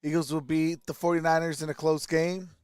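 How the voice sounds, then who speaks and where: A man's voice: fairly high pitch, little pitch movement, a little fast, darkish tone, smooth, no room sound, studio quality. A man hosting a sports livestream, calling plays casually and chatting with viewers as he reacts live. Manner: casual, reads viewer comments aloud. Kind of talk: live reaction play-by-play with chat replies.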